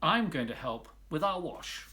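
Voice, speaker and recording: A man's voice: on the high side, sing-song, unhurried, bright, forceful tone, full character voice. A man voicing a caring, practical mother in a storybook reading. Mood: instructing.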